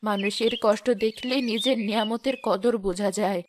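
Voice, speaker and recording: A woman voicing a kindly, pious grandmother in a cartoon who speaks calmly. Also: medium pitch, brisk, background noise, natural voice. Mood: gentle. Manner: calm, kindly and teaching. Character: gentle, pious wealthy grandmother.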